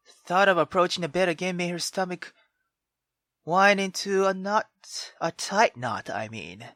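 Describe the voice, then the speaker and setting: A woman reading a visual novel aloud as a let's player, expressive and dramatic, dropping into hushed asides. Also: on the low side, moderate pace, dry recording, clean studio sound. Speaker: video game let's player.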